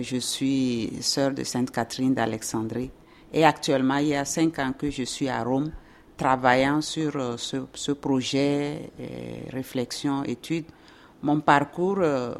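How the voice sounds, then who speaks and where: A woman in her fifties from Togo, answering as an interview guest in a measured, explanatory way with short halting phrases. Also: a bass voice, darkish tone. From Togo, born in Ghana.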